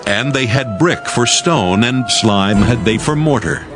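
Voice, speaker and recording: A man narrating scripture from a script in a measured, formal manner. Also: mid-range voice. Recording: music behind the voice.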